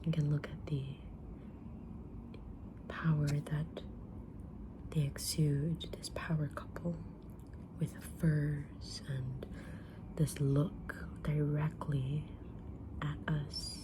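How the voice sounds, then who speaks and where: A woman playing a kind, mysterious witch fortune teller in an ASMR roleplay, whispering gently and deliberately with pauses. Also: deep voice, little pitch movement, background noise. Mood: calm, mysterious. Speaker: ASMR roleplayer reading tarot cards.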